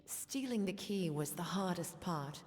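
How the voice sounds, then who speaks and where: An actress playing a quiet, guilt-ridden soldier in a fantasy video game, speaking earnestly and hesitantly. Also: female voice, on the low side, animated, a little slow. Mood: remorseful. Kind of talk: video game character acting. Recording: long reverb, music behind.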